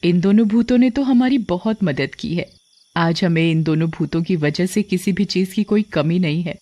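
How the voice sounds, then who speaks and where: A woman's voice: fairly low pitch, normal speed, mellow, no room sound, close to an everyday voice. A woman voicing a tailor's kind, grateful wife in a cartoon story, with an earnest manner. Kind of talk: Hindi cartoon story dialogue.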